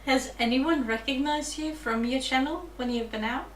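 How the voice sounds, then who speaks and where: A woman's voice: high-pitched, moderate pace. A woman interviewer asking casual questions, giggling often and encouraging her guest. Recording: distant, roomy mic with faint noise.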